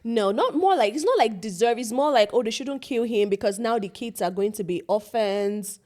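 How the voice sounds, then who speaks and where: A young woman from Nigeria, co-hosting a podcast in animated, casual chat. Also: fairly high pitch, normal speed. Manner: animated, chatty. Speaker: podcast co-host.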